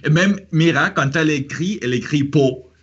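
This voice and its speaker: A man's voice: on the high side, moderate pace, breathy edge, muffled recording. A man whose first language is Spanish, speaking French with a Spanish accent, hosting a casual chat and often hesitating and restarting. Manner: hesitant, many fillers and restarts. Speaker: host.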